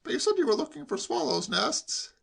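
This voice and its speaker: Guy doing a nosy young english toff nephew, breezy and chirpy, really high and bouncy, comic audiobook. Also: big pitch swings, a little fast, creaky, fairly bright tone, husky, exaggerated voice. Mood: incredulous, pedantic. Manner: chirpy, facetious, persistent questioning.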